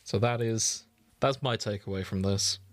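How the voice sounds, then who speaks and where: A man's voice: lowish voice, normal speed, no room sound. A man co-hosting a podcast in casual chat, teasing and swearing freely. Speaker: podcast co-host.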